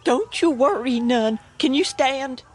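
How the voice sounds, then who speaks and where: A woman's voice: high-pitched, slow. An actress with a rural American accent playing a stern, superstitious, protective middle-aged mother in a radio drama. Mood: alarmed, tender.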